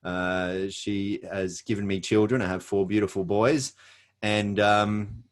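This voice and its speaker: A middle-aged Australian man giving an earnest motivational presentation, pausing often with fillers and restarts. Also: lowish voice, little pitch movement.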